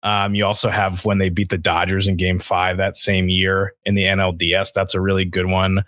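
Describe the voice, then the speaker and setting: A man's voice: low-pitched, monotone, moderate pace, a bit bright, dry recording, clean studio sound. A man in his thirties co-hosting a sports podcast, talking casually in a reflective, conversational way.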